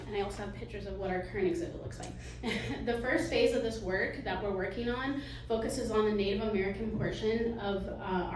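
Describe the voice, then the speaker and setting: A soft-spoken woman, a museum director, giving a live fundraising pitch with nervous little laughs and many ums. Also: noisy background. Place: meeting hall with audience. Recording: echoey hall from far off.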